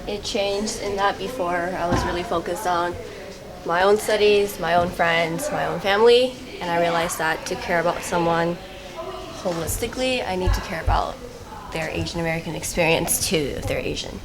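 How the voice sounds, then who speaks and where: A woman's voice: lowish voice, normal speed, roomy sound, crowd noise. A young woman, a student, giving earnest, thoughtful interview answers and building her points step by step. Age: young adult. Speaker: scholarship finalist.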